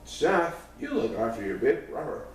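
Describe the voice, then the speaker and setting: A man's voice: medium pitch, big pitch swings, moderate pace, lightly acted. A man voicing a sensible teenage girl who chides and snaps back in a storybook reading. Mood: scolding. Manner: chiding, snapping back. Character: pretty, sensible, scolding teenage girl Megan. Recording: very echoey room, distant mic, faint noise.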